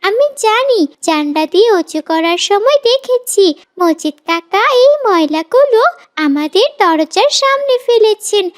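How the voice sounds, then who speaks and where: A woman's voice: very high voice, expressive, a little slow. A woman voicing a bold, outspoken little girl in a moral cartoon. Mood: accusing, earnest.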